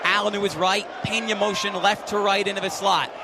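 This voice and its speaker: High-pitched young male sports announcer. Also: fairly quick, crowd noise, noisy background. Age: young adult. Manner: animated, punchy, emphatic delivery. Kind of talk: energetic sports commentary and highlight narration.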